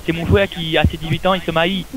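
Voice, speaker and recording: A man voicing a naive, overjoyed, very polite customer in a prank call, halting and repetitive. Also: fairly high pitch, level tone, natural voice. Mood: eager, grateful. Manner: halting, repetitive, simple phrasing. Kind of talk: improvised prank phone call. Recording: noisy amateur recording with clicks.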